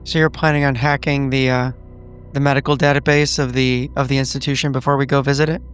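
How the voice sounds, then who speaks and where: A man's voice: medium pitch, little pitch movement, fast talker, faint music behind. Man asking questions at the game table. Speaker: player. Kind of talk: casual tabletop game talk.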